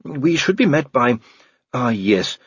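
A man's voice: medium pitch, big pitch swings, takes it slowly, slightly rough, no echo, muffled recording, voice pushed up, exaggerated voice. A man voicing a nervous, fussy male diplomatic clerk who hesitates as he talks.

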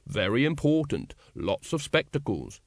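Audiobook role: self-important male royalist, acted by a man, ponderous lecturing delivery, middle pitch. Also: huge pitch swings, measured pace, vocal fry, dry recording, studio quality, exaggerated voice. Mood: ponderous, stolid. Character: ponderous, stolid, know-all male royalist.

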